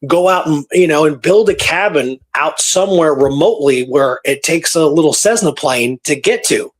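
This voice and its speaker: A man's voice: a little high, animated, moderate pace. A man hosting a radio show, telling a story in a casual, conversational way and repeating phrases for emphasis.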